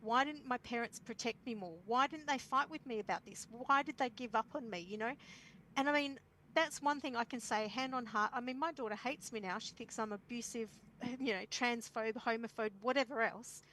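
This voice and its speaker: A woman's voice: a little high, moderate pace, pushed and bright, a little hiss or hum, low-quality recording. A woman with an Australian accent speaking as a guest parent at an online forum, earnest and emphatic, repeating phrases for stress. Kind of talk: impassioned forum talk.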